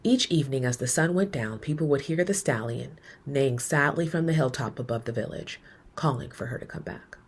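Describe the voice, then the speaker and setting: A woman narrates a children's tale as a read-aloud. Her voice is low and rather husky, with expressive, measured phrasing that softens at the ends of lines. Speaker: educator reading a children's book aloud.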